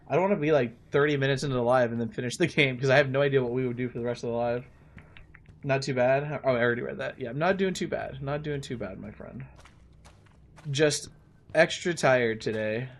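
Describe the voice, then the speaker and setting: A man in his late thirties chatting casually and in a friendly way with viewers while he plays a game on a livestream, often thinking aloud. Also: mid-range voice. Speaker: live streamer playing a game.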